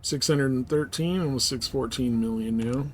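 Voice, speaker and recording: A man's voice: mid-range voice, moderate pace, darkish tone. Casual man streaming, faint noise. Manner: informal, sweary. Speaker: game streamer. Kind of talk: casual live-stream gameplay chat.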